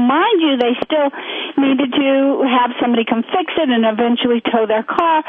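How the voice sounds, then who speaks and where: A woman's voice: high-pitched, dry recording. A woman co-hosting a call-in radio show, speaking earnestly and encouragingly to listeners and callers. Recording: tinny phone-line sound.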